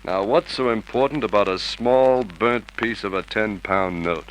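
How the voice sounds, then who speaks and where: An actor playing a gruff, impatient, authoritative police sergeant in a vintage radio crime drama style. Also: male voice, lowish voice, unhurried, lightly acted, poor audio. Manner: brusque, authoritative. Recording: old radio recording with hiss.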